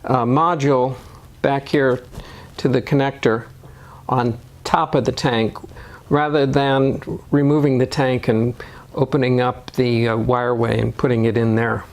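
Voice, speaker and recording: A man narrating step-by-step DIY instructions in a measured, matter-of-fact way. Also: medium pitch. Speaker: motorcycle DIY video host.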